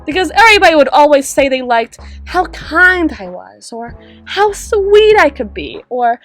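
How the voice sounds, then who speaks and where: A woman plays a young drider girl working the door of a club, in an audio roleplay. Her voice sits fairly high and swings about expressively. She is guarded and dryly sarcastic, brusque and given to exasperated sighs, with music playing some way off behind her. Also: sing-song, unhurried, fairly bright tone, exaggerated voice. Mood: wounded, confessional. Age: 20s. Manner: wry, brusque, theatrical delivery with exasperated sighs. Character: gruff, sarcastic, guarded 25-year-old drider girl bouncer. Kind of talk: ASMR roleplay audio drama.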